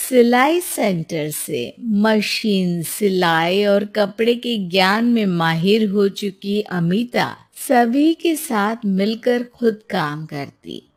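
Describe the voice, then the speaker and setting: Unhurried woman narrating a story. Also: mid-range voice. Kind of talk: scripted moral-story narration.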